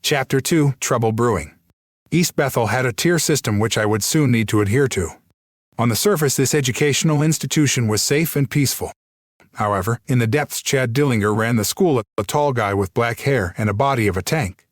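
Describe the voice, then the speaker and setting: A man narrates a first-person story with steady, plain delivery. His pitch sits in the middle range. The recording is dry and close. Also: a little fast, no room sound. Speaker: audiobook narrator.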